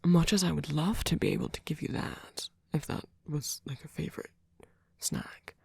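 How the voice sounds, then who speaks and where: A man playing a gentle, doting centuries-old vampire boyfriend in an ASMR roleplay, speaking softly and haltingly, often in a whisper. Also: a little high, fairly even intonation, dry recording, full character voice. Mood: earnest, tender. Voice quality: soft, breathy, often whispered. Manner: halting, polite, fussing, softly reassuring.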